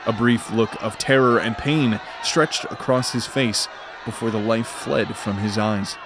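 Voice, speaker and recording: A man narrating a scripted fantasy audio drama in a measured, dramatic storytelling manner. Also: on the low side, fairly even intonation, crowd noise, background noise.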